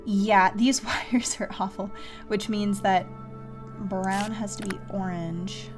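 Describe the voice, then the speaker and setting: A woman's voice: mid-range voice, steady tone, slow pace. A woman doing casual live game commentary as a streamer, thinking aloud and talking to herself with mild exclamations. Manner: self-talking, with mild exclamations and sing-song filler syllables. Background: music.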